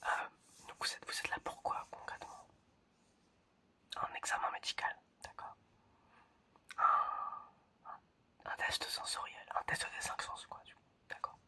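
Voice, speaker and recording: A whispering ASMR performer plays a quirky, mildly suspicious family doctor, talking softly and haltingly and often repeating phrases. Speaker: ASMR creator playing a general practitioner.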